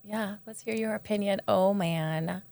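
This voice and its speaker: A woman's voice: mid-range voice, unhurried, vocal fry, darkish tone, dry recording. A woman co-hosting a podcast, asking questions and reflecting on her own life in casual conversation. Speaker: podcast co-host.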